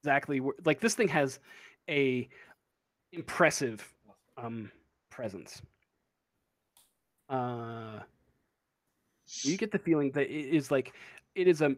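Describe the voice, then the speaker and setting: A man's voice: mid-range voice, expressive, slow pace, long pauses, no echo. A man narrating a tabletop role-playing game as game master, improvising and searching for his words.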